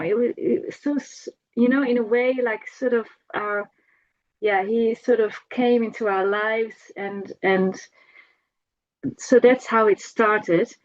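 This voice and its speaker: A middle-aged woman shares a personal remembrance in an informal way. Her voice sits at an ordinary pitch for a woman, and she speaks haltingly, searching for words and repeating phrases. The audio is dull and lo-fi. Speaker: devotee giving a personal homage.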